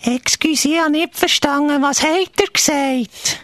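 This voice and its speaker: A woman's voice: high voice, measured pace, fairly bright tone, no echo. A woman playing a friendly, lonely woman in a live radio play, with a patient, insistent manner. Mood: welcoming.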